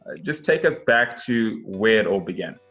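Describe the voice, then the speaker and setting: A lower-voiced man hosts an interview with eager enthusiasm, restarting phrases and leaning on "uh" fillers. His audio is dull and lo-fi. A soft guitar track runs beneath. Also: animated, normal speed. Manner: enthusiastic, repeats words, many "uh" fillers. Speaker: interview host. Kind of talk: interview hosting.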